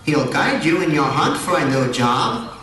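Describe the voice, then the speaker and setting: A man voicing a wry, kindly talking flower in a puppet show, gently reassuring and a little preachy. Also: fairly high pitch, very animated, a little slow, heavily acted. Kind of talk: scripted puppet show dialogue. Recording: roomy echo, distant mic, faint noise.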